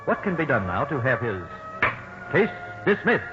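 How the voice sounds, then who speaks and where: A male announcer in dramatic 1950s radio style. His mid-pitched voice rises and falls strongly. Music plays clearly behind him on a muffled recording. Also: big pitch swings, moderate pace.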